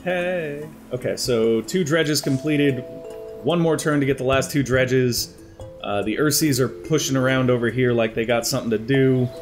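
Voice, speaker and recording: A man's voice: mid-range voice, moderate pace, soft background music. Chatty young man narrating a strategy game. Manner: chatty, thinking aloud while planning moves. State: slightly congested from allergies. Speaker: gaming commentator. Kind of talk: let's play strategy game commentary. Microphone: headset.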